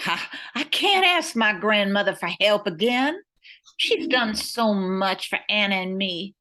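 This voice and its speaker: A woman's voice: medium pitch, expressive, unhurried, bright, forceful tone. A woman playing a capable but worried airline owner and pilot, reading earnestly in a radio play. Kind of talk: old-time radio play acting.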